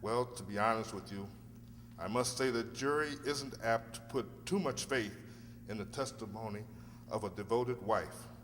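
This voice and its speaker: A man's voice: fairly low pitch, normal speed, a bit bright, close to an everyday voice. Guy doing a polite earnest lawyer, stagey radio play, formal talk, echoey hall with some hum. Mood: frank, careful. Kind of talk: radio drama acting.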